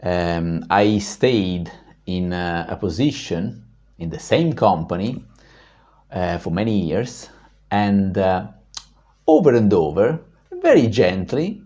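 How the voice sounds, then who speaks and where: A man with a deep baritone voice tells a personal story as a vlog host, reflective and full of pauses and fillers. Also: very animated, dark tone, dull audio.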